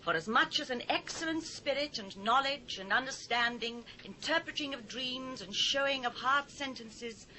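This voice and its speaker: Regal british queen mum, urgent n sharp, theatrical bible drama, bit of cassette hiss. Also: high voice, rough recording. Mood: urgent, commanding. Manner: declamatory, measured phrasing. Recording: faint hiss, old cassette transfer.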